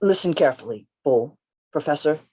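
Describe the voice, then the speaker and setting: Woman playing a very animated, theatrical gentleman adventurer. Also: deep voice, brisk, husky. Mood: resolute. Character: bold, theatrical gentleman adventurer narrating his own tale. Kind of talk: radio play reading, first-person narration. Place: remote reading over a video call.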